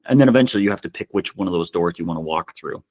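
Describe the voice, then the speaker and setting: A man speaking casually as an interview guest, telling stories in an animated, self-deprecating way. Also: low voice, rapid-fire. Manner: self-deprecating, chuckles as he talks. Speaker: podcast guest, customer success executive.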